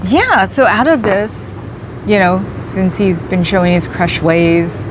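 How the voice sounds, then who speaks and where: Slow, giggly woman streamer, noisy car. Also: mid-range voice, animated. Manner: chatty, giggly, thanks viewers by name. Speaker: livestream host. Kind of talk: casual livestream chat with viewers. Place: in a parked car outdoors.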